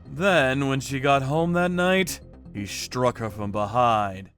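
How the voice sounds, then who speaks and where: A man's voice: medium pitch, lively intonation, slow, loud music behind the voice. A man voicing a grim, coolly menacing vigilante torturer, narrating his revenge work in a hard-edged, dramatic manga style. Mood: grim, resolute.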